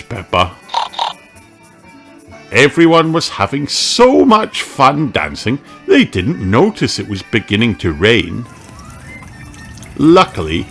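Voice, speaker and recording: A man narrates a children's storybook over music. His pitch is average but swings very widely, and he reads at an unhurried pace. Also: fairly bright tone. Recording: music behind the voice.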